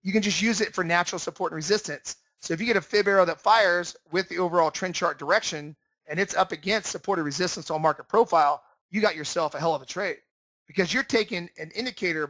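A man's voice: sits high, sharp tone, dry recording. A man instructing in an informal screen-share lesson, explaining emphatically and repeating himself for stress. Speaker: trading room instructor. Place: indoors, voice-over for screen share.

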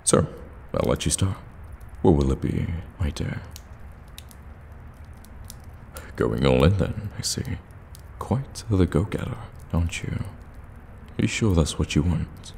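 A man performs a suave evil warlock for an ASMR audio roleplay, with an extremely low voice full of gravel. He speaks slowly and intimately, courteous yet teasing, as if coaxing a customer into a bargain. Long cave-like reverb surrounds him. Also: exaggerated voice. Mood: sly, bargaining. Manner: soft-spoken flattery, measured and teasing. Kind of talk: ASMR roleplay, intimate direct address to the listener. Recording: cavernous reverb, ambient background noise.

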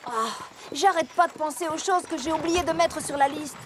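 A woman's voice: high-pitched, normal speed, background noise, close to an everyday voice. A woman voicing a protective young adult big-sister farm pony in a cartoon, affectionate and fretting. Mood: worried. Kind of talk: animated series voice acting.

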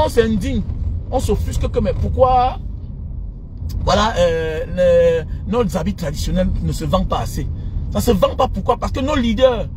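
A man in his thirties with a Cameroonian French accent, ranting informally to his followers in an animated, indignant, mocking way from inside a car. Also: high-pitched. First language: an African mother tongue. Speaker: social media commentator. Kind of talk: impassioned informal rant to followers. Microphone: phone at arm's length. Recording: noisy car interior with road traffic.

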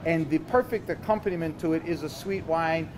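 A man in his fifties, a chef being interviewed, explaining things conversationally and haltingly, often repeating words. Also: on the high side, noisy background. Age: 50s. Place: store counter indoors.

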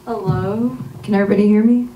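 A young woman, the featured poet, speaking at a podium mic in a community arts center, introducing herself and then reading a spoken word poem from her phone in a measured way. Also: medium pitch, fairly even intonation, muffled recording, a little hiss or hum. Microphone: podium mic on a stand. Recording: echoey room, mic heard from a distance.

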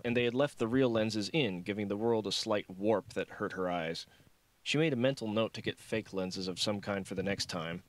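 Male audiobook narrator, fairly low pitch, scripted fiction read in a dry recording. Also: no room sound.

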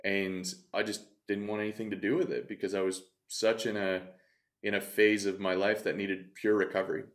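A man in his thirties speaks in a fairly low register, earnest and reflective as he recounts his own experience to a host. He often restarts his sentences and circles back to a thought. The sound is close and clean, from a desk mic in a studio room. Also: little pitch movement, moderate pace. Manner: earnest, reflective, self-interrupting. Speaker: podcast guest, coach. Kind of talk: candid storytelling in conversation. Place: bright studio room, indoors. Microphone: desk mic on stand.

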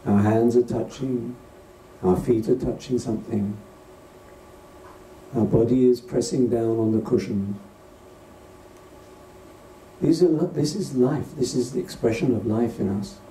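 A man leading a guided meditation as a teacher, speaking gently and unhurriedly in short phrases with pauses between them. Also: mid-range voice. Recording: distant roomy recording with faint noise.

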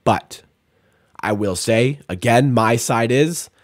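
Young man, sports stream host, rattling off stats, close boom mic, dry small room. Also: takes it slowly, no echo. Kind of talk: casual post-game breakdown. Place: small room at home, indoors. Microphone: studio mic on boom arm, close.

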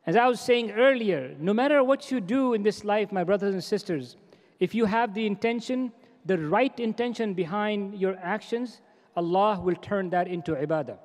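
A man's voice: high-pitched, moderate pace, a little mellow. A middle-aged man giving a formal welcome speech at a convention podium, earnest and exhorting, often repeating his phrases for emphasis. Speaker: organization president giving a welcome address. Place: large convention hall stage. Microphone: podium microphones. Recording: long reverb of a large hall.

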